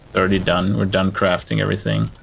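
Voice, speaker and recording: A male streamer talks casually through his gameplay in a low voice with a gravelly fry. He thinks out loud as he plays, over clearly audible background noise. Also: little pitch movement, quick pace, poor audio. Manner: thinking aloud while playing. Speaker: game streamer. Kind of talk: casual live gameplay commentary.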